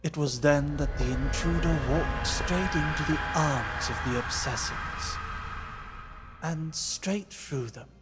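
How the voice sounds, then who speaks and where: Man as a slow, grave fantasy narrator. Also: on the high side. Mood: grave, dramatic. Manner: deliberate, dramatic, formal. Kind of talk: scripted narration for a visual novel. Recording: music behind.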